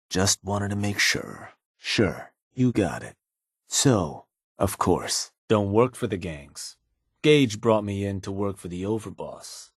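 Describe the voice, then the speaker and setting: Raider gang fixer for a video game: low, raspy, shrewd, casually deferential to the boss, recorded very dry. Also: male voice, a little mellow, no echo. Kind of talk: video game character dialogue.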